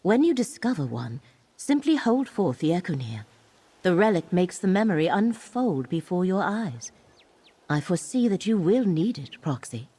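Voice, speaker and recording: An actress playing a grave, scholarly guide in a fantasy video game, speaking in a measured, formal, solemn manner. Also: female voice, on the low side, big pitch swings, mellow, slightly rough. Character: grave, scholarly woman guide to ancient ruins.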